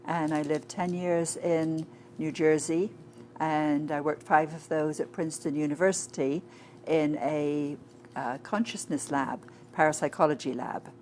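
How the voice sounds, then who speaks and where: A woman's voice: sits low, steady tone, unhurried, a little mellow. A woman from England, answering interview questions conversationally with frequent fillers. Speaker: interview guest. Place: inside a flying saucer-themed shop.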